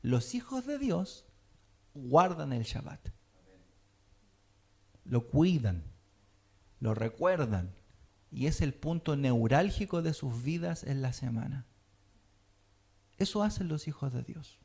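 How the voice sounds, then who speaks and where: A man's voice: on the high side, very animated, slow pace, pauses often, mellow, slightly rough. Teacherly man preaching. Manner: teacherly, checks in with listeners often. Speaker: teacher of a Messianic congregation. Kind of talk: sermon teaching.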